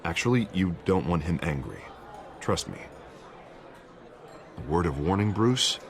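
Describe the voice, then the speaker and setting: A man's voice: low voice, very animated, unhurried, exaggerated voice. An American man playing an earnest, anxious politician in a video game. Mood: urgent, cautioning.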